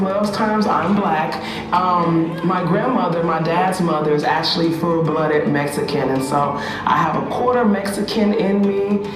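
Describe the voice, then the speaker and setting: A woman on a school staff giving informal interview answers. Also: lowish voice. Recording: very echoey, distant, music behind.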